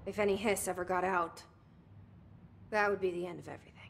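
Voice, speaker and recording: An actress playing a resolute, candid young woman who runs an agency in a video game, plain-spoken and measured. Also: fairly low pitch, steady tone, gravelly, slight background noise. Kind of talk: naturalistic video game acting.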